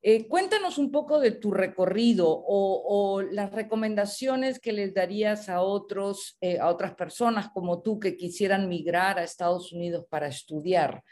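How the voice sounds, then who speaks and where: Woman podcast host asking interview questions, medium pitch, conversational. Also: animated, moderate pace, hardly any pauses, darkish tone.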